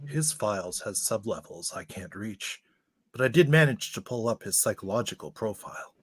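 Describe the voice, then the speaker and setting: A man's voice: fairly low pitch, expressive, normal speed, slightly rough, dry recording. A man playing a stern, commanding mentor of a mutant team in radio theatre, authoritative and intense. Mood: confiding. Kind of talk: live-read radio theatre acting.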